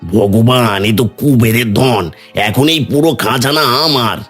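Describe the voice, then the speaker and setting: A greedy cartoon villager, played by a man, speaks in a medium-pitched voice. He is insinuating and envious, with faint music behind him. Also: unhurried, fairly bright tone. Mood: greedy, startled. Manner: insinuating, suspicious. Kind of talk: cartoon dialogue.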